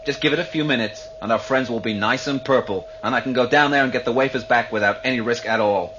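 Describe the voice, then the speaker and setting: An actor playing a smug, menacing starship hijacker in a radio drama, with sardonic, unhurried threats. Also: male voice, medium pitch, natural voice. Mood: smug menace. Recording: dull, noisy old radio recording.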